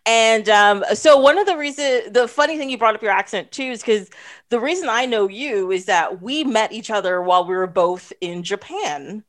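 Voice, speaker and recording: A woman's voice: mid-range voice, lively intonation, normal speed. Upbeat Canadian woman hosting a podcast. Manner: upbeat, laughs often, filler-heavy. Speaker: podcast host. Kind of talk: casual chat.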